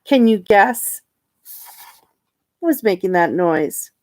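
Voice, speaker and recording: A woman reading a children's picture book aloud expressively, pausing to ask her young listeners questions and point things out. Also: medium pitch, lively intonation, darkish tone. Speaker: storytime reader and teacher.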